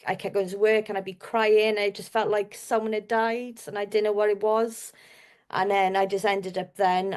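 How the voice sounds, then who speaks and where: A woman's voice: medium pitch, a little mellow. Podcast guest: Welsh woman, mid-range voice, informal and wordy, recounting personal experience. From Wales. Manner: chatty, rambling, repeats herself. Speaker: podcast guest, business owner. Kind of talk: personal storytelling in an interview.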